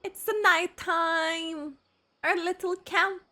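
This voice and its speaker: A woman giving an expressive, casual live reaction while playing a video game. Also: very high-pitched, takes it slowly. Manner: expressive, echoes game dialogue. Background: game music.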